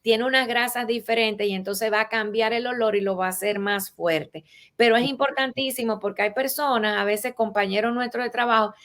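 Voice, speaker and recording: High-voiced Latin American woman explaining. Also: a little fast, a bit bright. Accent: Latin American Spanish. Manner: didactic, giving practical examples and rules. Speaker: guest etiquette expert. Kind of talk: explanatory interview talk.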